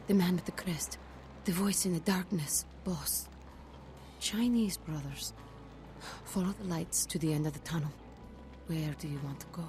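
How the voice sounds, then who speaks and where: A woman's voice: deep voice, darkish tone. A woman playing a cryptic fortune teller in a video game, speaking in portentous riddles. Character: cryptic, ominous female fortune teller who sees the future. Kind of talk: video game dialogue acting.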